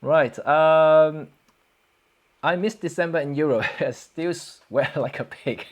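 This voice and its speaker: A middle-aged man hosting a casual livestream, greeting viewers by name in a chatty, halting way full of fillers and frequent chuckles. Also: fairly high pitch. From Hong Kong. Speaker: photography livestream host. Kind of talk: casual livestream chat reading viewer comments.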